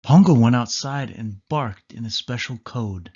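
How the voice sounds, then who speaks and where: Male storyteller, expressive children's read-aloud, mid-range pitch, dull lo-fi recording. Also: measured pace, husky.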